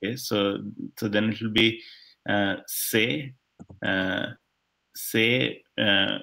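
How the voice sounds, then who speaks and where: Low gravelly man, hesitant student. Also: steady tone, takes it slowly, bright, forceful tone. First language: not English. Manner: hesitant, thinks aloud with many fillers. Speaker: language student. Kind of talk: online lesson, working through exercises.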